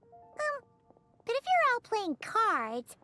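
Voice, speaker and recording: A tiny fairy-like girl companion chirps in an extremely high voice. Her delivery is perky and childlike, bouncing up and down, in video game style with music behind. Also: wildly swooping pitch, slow pace, full character voice.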